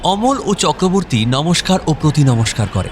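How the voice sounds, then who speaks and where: A man's voice: a little high, big pitch swings, moderate pace, slight background noise. Man narrating a story in long reverb. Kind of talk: dramatic scripted narration.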